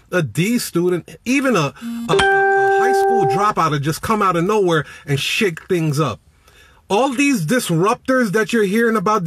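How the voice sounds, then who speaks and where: Animated man talking in his car. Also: sits high, breathy edge, dry recording. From Chicago, United States. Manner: animated, preachy, greets viewers by name. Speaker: business coach. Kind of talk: live-stream motivational talk. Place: inside a parked car. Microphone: phone at arm's length.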